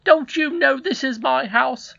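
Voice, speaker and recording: Shrill, bossy housekeeper. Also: unhurried, vocal fry, pushed and bright, no echo, muffled recording, exaggerated voice. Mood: indignant, scolding. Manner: scolding, self-important. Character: bossy, haughty, indignant female royal housekeeper.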